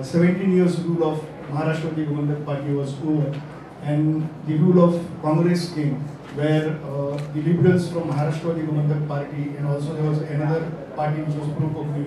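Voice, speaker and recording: A man speaking on a discussion panel, thoughtful and halting with many fillers. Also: fairly high pitch. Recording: echoey distant hall recording with noise.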